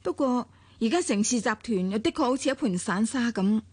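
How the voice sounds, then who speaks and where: Analytical woman adviser, Hong Kong Cantonese accent, measured, high-pitched, dry studio sound, radio drama. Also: lively intonation, a little mellow, slightly rough, no echo. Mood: thoughtful, cautious. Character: level-headed, analytical, cautious woman adviser.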